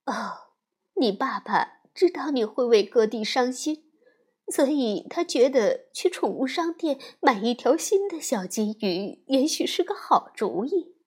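A gentle mother bear from a storybook, voiced by a woman, soft and soothing with a breathy tone. She speaks slowly and tenderly, her voice rising and falling warmly. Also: high-pitched, expressive, heavily acted.

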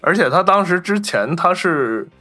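A man's voice: sits high, expressive, normal speed, sharp tone. A man hosting a podcast, casually telling film anecdotes and acting out the remarks he reports. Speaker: podcast host.

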